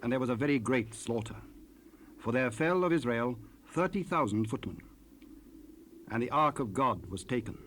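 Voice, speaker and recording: Medium-pitched male scripture narrator, faint tape noise. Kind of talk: dramatized scripture narration, archaic English. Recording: noisy raw cassette rip.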